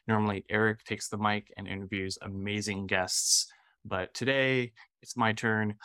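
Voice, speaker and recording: A man's voice: lowish voice, fairly bright tone, no room sound. A man who teaches design, hosting a podcast episode in an easygoing, friendly way.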